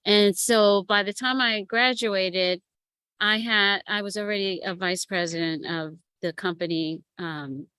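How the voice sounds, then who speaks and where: A woman in her fifties, a matchmaker and coach, telling her life story in a relaxed interview with frequent laughs. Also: mid-range voice, measured pace, clear, resonant tone, dry recording. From United States. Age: 50s. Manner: lively storyteller who laughs often. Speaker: guest, matchmaker and relationship coach. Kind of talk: casual interview chat.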